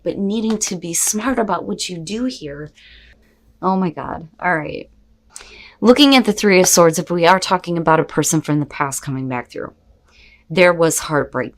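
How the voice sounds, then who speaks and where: A woman with a fairly low-pitched voice reads tarot cards for her viewers. She speaks off the cuff in a casual, expressive, conversational manner, peppered with rhetorical questions. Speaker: tarot reader and host. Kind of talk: casual, unscripted tarot reading addressed to viewers.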